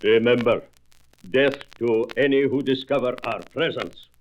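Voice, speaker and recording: A man's voice: medium pitch, fairly even intonation, lo-fi sound, natural voice. A man playing an arrogant, commanding alien invader made of stone in a comic-book audio drama, with pompous, boastful delivery. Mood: menacing. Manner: slow, pompous, boastful declamation. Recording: faintly noisy old record.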